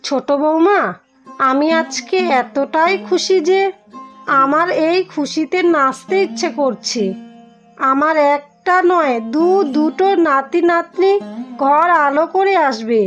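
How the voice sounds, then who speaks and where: Cartoon mother-in-law, kindly and doting, voiced by a woman; high-pitched. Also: slow pace, fairly bright tone, lo-fi sound, soft background music. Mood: joyful. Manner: affectionate, fussing.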